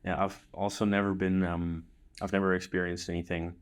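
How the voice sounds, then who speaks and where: A man from Switzerland with a low, deep voice. He talks casually in the relaxed back-and-forth of a podcast conversation, as its host. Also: fairly quick. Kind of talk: casual chat.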